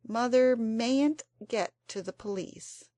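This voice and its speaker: A woman's voice: a little high, slow, dark tone, no echo, clean studio sound, pitched above the performer's own voice. A woman doing an earnest, dramatic young girl. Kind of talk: storybook character voice.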